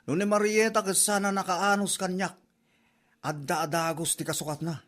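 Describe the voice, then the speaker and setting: Over background music comes a man acting a feckless, boozy husband with a rather high voice. He speaks with an Ilocano accent, in the manner of a comedy drama podcast. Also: level tone, normal speed, lightly acted. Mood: flustered. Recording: background music behind the dialogue.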